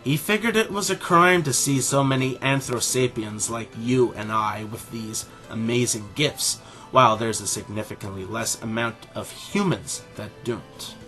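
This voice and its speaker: A man playing an arrogant, condescending middle-aged animal scientist and father in a scripted audio drama, speaking in a pompous, deliberate way. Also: mid-range voice, pushed and bright, faint music behind, natural voice, low-quality recording.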